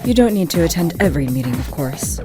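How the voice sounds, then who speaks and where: A woman's voice: low-pitched, lively intonation, fast talker, music in the background. A woman playing a composed, authoritative student council girl in a fan audio drama, formal and articulate. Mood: composed, persuasive. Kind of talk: fan audio drama, anime game adaptation.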